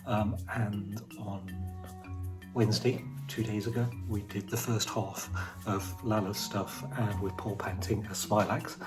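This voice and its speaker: A man's voice: lowish voice, fairly even intonation, unhurried, rough recording. A man, an audio drama producer, explaining things informally to camera. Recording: clear music under the voice. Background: background music.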